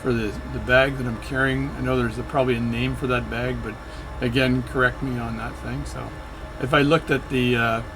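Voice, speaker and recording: A man's voice: medium pitch, little pitch movement, moderate pace, hardly any pauses, background noise. A man narrating his flight sim session in a chatty, rambling way, often correcting himself mid-sentence. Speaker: flight sim video creator. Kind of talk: casual narration while playing a flight sim.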